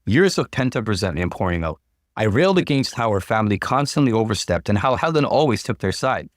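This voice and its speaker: Expressive male narrator, dry close sound. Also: on the low side, brisk, no room sound. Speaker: narrator reading online stories. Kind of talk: scripted dramatic story narration.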